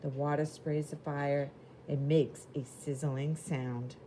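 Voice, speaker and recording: A woman voicing a proud, eager little red fire engine in a children's storybook, with simple, expressive delivery. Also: low-pitched, slow, a little hiss or hum. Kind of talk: children's storybook character narration.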